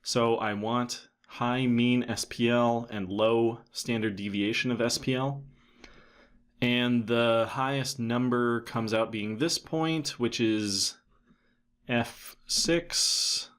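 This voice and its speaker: A man in his thirties giving a casual, matter-of-fact software tutorial, thinking aloud as he goes. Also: medium pitch, takes it slowly. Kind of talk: casual screen-recorded tutorial narration.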